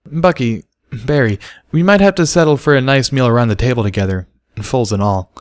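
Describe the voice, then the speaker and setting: A man's voice: mid-range voice, a little fast, no room sound, studio quality, voice pushed up, lightly acted. A man voicing a caring but stern mother pegasus pony in a storybook reading. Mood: motherly, pleading.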